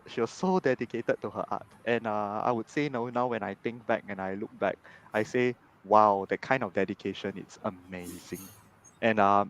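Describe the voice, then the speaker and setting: A man, chatting as a guest on a video call, animated and tagging his sentences with "right?". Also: on the low side, poor audio. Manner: animated, frequent "right?" tags. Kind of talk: casual storytelling in an interview. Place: home room on video call.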